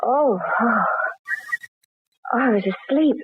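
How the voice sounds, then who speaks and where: An actress playing a frightened young daughter haunted by nightmares, halting and melodramatic in old-time radio style. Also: female voice, high-pitched, expressive, a little hiss or hum. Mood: shaken, dazed. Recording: tinny, band-limited old radio recording.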